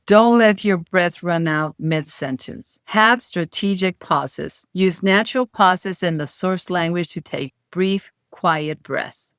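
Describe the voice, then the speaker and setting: A woman speaks straight into a close mic, her voice smooth and resonant. The room is dry and dead, with no echo. She gives plain, direct instructions like a teacher. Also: low voice. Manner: plain, direct advice-giving. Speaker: host and voice-care instructor. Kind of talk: instructional video talk.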